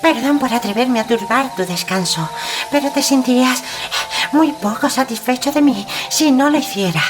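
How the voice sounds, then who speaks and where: Squeaky, breathless little messenger creature. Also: male voice, normal speed. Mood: anxious, apologetic. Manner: deferential, stammering. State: panting, out of breath. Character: tiny breathless rabbit-like feathered messenger creature, humble and apologetic. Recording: music behind the voice.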